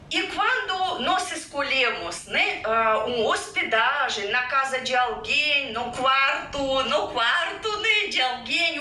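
Brief: Russian-accented woman vlogger, slow halting delivery, roomy distant sound. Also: sits high. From Russia (Siberia). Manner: animated, halting with fillers and restarts. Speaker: featured vlogger. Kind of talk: reacted-to vlog, casual talk to viewers. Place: indoor room with yellow sofa and painting. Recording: roomy, distant, faint noise.

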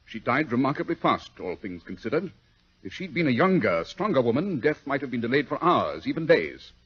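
A shrewd, coolly analytical detective, his husky voice swinging expressively as he probes. He is precise, commanding and wry, in a radio play heard on a muffled vintage recording. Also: mid-range voice, very animated, fairly quick. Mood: cool, probing. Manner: precise, incisive, questioning, wry. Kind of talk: old-time radio drama acting.

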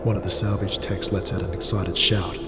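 A man reading scripted cinematic sci-fi narration in a solemn, measured manner. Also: deep voice, steady tone, reverberant, big-room sound, over loud music.

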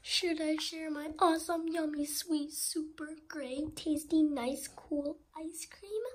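A woman voicing an excitable, anxious cartoon elephant in a theatrical children's picture-book read-aloud, swinging between whispers and loud outbursts. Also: high-pitched, slow, a little hiss or hum, pitched above the performer's own voice. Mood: excited, fond.

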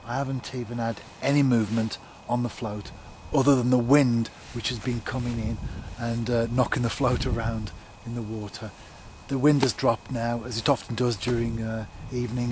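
A middle-aged man talks casually to camera at a lakeside, explaining things in a measured, unhurried way as an angler. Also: medium pitch. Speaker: angling vlogger. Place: outdoors at a lakeside. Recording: outdoor camera recording with wind noise.

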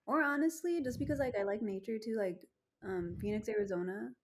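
A woman's voice: medium pitch, a little fast. Young woman from chicago on a video call, says like a lot, chatty. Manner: chatty, frequent "like" fillers. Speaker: guest. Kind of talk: casual interview chat.

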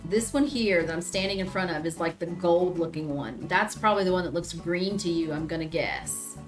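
A woman in her thirties with a Southern US accent, chatting casually on a gaming stream, reading chat aloud and often pausing with ums. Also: fairly low pitch, expressive, music in the background. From Southern United States. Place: small room indoors. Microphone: headset.

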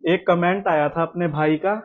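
A man's voice: fairly high pitch, fairly even intonation, moderate pace. Chatty young man, thin phone-like sound. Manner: chatty, repeats himself, sprinkles English words. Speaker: vlogger host. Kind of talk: casual chat to viewers. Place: small room at home, indoors.

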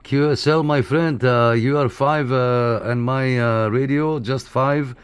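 A man's voice: mid-range voice, steady tone, unhurried. Friendly man on CB, noisy background. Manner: friendly, repeats phrases. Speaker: CB radio operator and video maker. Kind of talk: casual CB radio contact chat. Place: indoor room at a radio desk.

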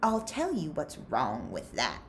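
A woman's voice: low-pitched, wildly swooping pitch, measured pace, heavily acted. A woman voicing a stern, commanding female camp warden in a storybook, firm and condescending.

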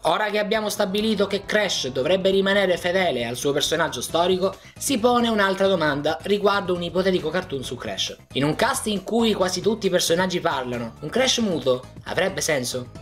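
A man's voice: high-pitched, lively intonation, a little fast, few pauses. Man narrating over music. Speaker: video essay narrator. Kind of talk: scripted narration. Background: background music.

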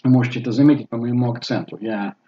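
A man in his sixties with a Bulgarian accent, talking casually to camera at home, halting with many fillers. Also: mid-range voice, fairly even intonation, mellow, slight background noise. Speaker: vlogger. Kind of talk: casual personal talk to camera. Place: living room at home. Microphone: webcam at desk. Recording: slightly dull webcam audio.

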